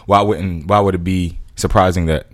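A man with a very deep voice asks questions in a relaxed chat, often stalling and repeating words before landing his point. The sound is close and dry, with no room behind it. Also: normal speed, no echo. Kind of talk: casual interview chat.

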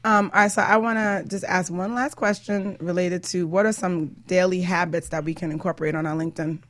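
A woman's voice: lowish voice, steady tone, brisk, no echo. A woman hosting a talk show, interviewing guests in a casual style.

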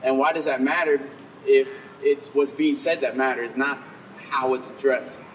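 A man's voice: medium pitch, steady tone, unhurried, far from the mic, a little hiss or hum, low-quality recording. A man interviewing an author, asking long, winding questions full of fillers and hesitations.

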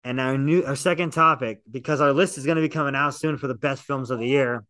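A man's voice: medium pitch, quick pace, pushed and bright, dry recording, clean studio sound. A man hosting a film podcast in casual chat, enthusiastic and profane, rambling with restarts. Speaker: podcast host, film reviewer.

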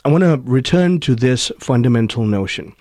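A man's voice: mid-range voice, lively intonation, moderate pace, mellow. A man from Malaysia filling in as host of a radio talk show, speaking earnestly and often repeating words as he starts a thought.

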